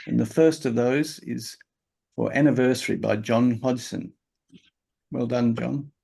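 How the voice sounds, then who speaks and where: A man's voice: mid-range voice, a little slow, vocal fry, slightly rough, rough recording. A man hosting an exhibition, reading out accepted entries steadily with short asides. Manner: plain, steady, reads titles and adds short asides. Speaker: exhibition host. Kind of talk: announcing results.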